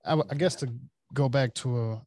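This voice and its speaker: A man's voice: medium pitch, a little mellow, dry recording. A man hosting a podcast, asking casual questions that halt and restart as he phrases them, recorded in a small treated studio. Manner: halting, self-correcting questions. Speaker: podcast host. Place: small studio with acoustic panels, indoors. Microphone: studio microphone on a desk.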